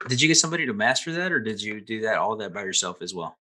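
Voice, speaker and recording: A man's voice: fairly low pitch, very animated, rapid-fire, sharp tone. A man hosting a podcast interview, stammering and repeating words as he starts his sentences. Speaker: podcast host.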